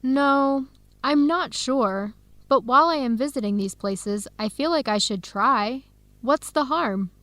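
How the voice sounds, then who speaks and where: A woman's voice: sits high, a little slow, a bit bright, dry recording. An actress playing a curious, earnest young woman in a radio drama. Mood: hesitant, earnest. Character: Helen, curious, earnest young American woman visiting Ethiopia. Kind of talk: radio drama acting for English learners.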